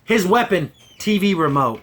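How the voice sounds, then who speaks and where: A man's voice: high-pitched, big pitch swings, normal speed, a bit bright. A man hosting a casual review podcast about cartoons, talking in an exasperated, mocking way. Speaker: podcast host.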